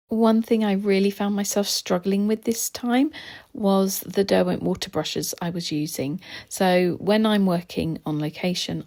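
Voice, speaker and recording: Woman, slightly hoarse, gravelly, relaxed casual narration, close mic. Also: mid-range voice. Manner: chatty, relaxed, with soft laughs and ums. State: slightly hoarse, losing her voice. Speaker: art vlogger.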